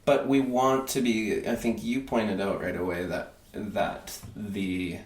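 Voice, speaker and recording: A man in his thirties who grew up in Peru, a podcast guest speaking haltingly with many fillers and restarts. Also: lowish voice, flat delivery, darkish tone. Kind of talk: casual conversation. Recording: echoey room with faint noise.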